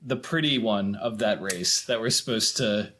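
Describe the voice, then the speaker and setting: A man's voice: fairly low pitch, normal speed, a bit bright, a little hiss or hum. A man hosting a casual film discussion, steering the recap in an informal way. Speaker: podcast host.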